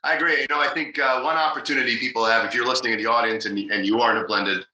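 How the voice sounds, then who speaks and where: Male podcast host, mid-pitched, upbeat and supportive; sharp, forward tone; reverberant room. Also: steady tone, very fast, muffled recording, slight background noise. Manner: enthusiastic, many fillers and restarts, encouraging. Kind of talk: casual interview talk.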